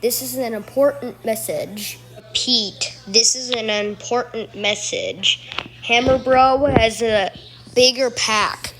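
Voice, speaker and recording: A woman's voice: on the high side, takes it slowly, fairly bright tone, slight background noise, rough recording. A woman delivering a homemade mock emergency alert as an announcer, repeating her warnings.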